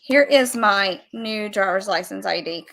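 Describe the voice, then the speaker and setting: A woman's voice: mid-range voice, unhurried, creaky, a bit bright. A woman co-hosting a livestream in casual chat, with a playful, self-deprecating manner. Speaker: livestream co-host.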